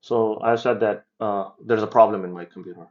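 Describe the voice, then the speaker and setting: Low-voiced man walking through a software demo. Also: steady tone, fairly quick, lo-fi sound. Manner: explanatory, hesitant with ums and restarts. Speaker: developer giving a project demo. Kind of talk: casual screen-share demo walkthrough.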